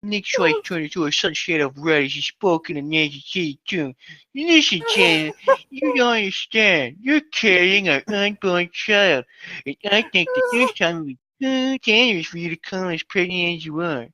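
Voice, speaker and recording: A man with a bright, forceful voice reads fan fiction aloud as a co-host. He reads like an amateur, putting on voices for the dialogue. The microphone is dull and lo-fi. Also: high voice, sing-song, normal speed, no echo.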